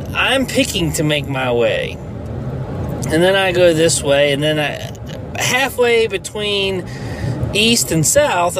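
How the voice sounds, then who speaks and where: A man with a nasal, congested voice, rambling through a loose solo podcast and swearing freely. His voice sits slightly high for a man. A clear layer of noise runs under the recording. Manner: profane and rambling. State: stuffy from allergies. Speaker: podcast host. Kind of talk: casual solo podcast rant.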